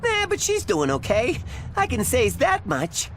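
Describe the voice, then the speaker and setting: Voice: mid-range voice, wildly swooping pitch, unhurried. A performer playing a chirpy, folksy little delivery creature in an anime game dub, with ungrammatical salesman's talk and noise behind. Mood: cheerful.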